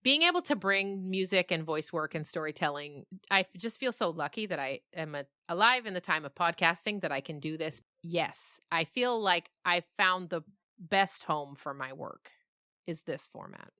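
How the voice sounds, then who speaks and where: A woman's voice: on the low side, animated, moderate pace, bright, forceful tone, clean tone, no echo, studio quality. A woman speaking as an interview guest, a storyteller and podcast creator talking reflectively and expressively in conversation.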